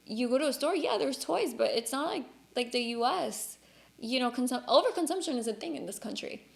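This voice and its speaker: A woman's voice: high-pitched, expressive, a little fast, gravelly. A woman from Cuba, a native Spanish speaker, hosting a casual conversational podcast in an earnest, emphatic way. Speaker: podcast host.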